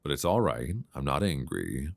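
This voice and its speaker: A man playing an affectionate, gently teasing long-distance boyfriend in an intimate, unhurried ASMR roleplay voice message, speaking conversationally close to a binaural microphone. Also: extremely low pitch, sing-song, gravelly, dry recording, heavily acted. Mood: playful mock reproach. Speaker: audio roleplay performer.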